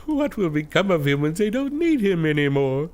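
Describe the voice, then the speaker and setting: Protective melancholy man, acted, earnest, animated mid-pitched voice, pulp radio serial. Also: moderate pace. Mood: worried, sad. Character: brooding German man, protective and guilt-ridden. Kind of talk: pulp radio adventure acting.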